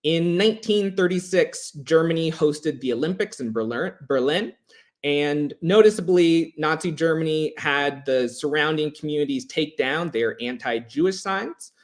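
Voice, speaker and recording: A man with a slightly high voice delivers a prepared history lecture. His intonation swings widely as he stresses key words. The phrasing is formal and measured, in the manner of an educational presenter. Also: expressive.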